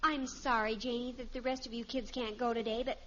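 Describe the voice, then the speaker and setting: An actress playing a lovestruck, dramatic teenage daughter in a 1950s radio sitcom, with a gushing, theatrical manner. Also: female voice, fairly high pitch, level tone, brisk, sharp tone. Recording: muffled, hissy old radio recording.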